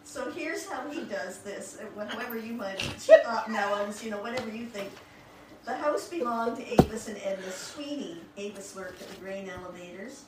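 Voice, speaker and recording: A woman talking informally to a group and reading book passages aloud, with halting, admiring asides. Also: mid-range voice, animated. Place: echoey room with a small group present. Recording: echoey room, distant mic, clear background noise.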